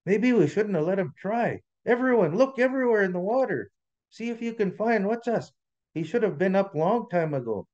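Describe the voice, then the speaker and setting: A man's voice: medium pitch, lively intonation, moderate pace, a little mellow, muffled recording, close to an everyday voice. A man voicing a protective trickster leader, firm and urgent as he commands his animal brothers and sisters. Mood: worried.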